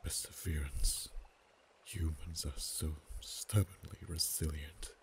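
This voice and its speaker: Ancient proud dragon played by a man: very low, flat, unhurried, soft whispers, faint hiss behind. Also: heavily acted. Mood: musing. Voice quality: very deep, soft-spoken. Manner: slow, measured, drops to whispers. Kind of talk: ASMR roleplay voice acting.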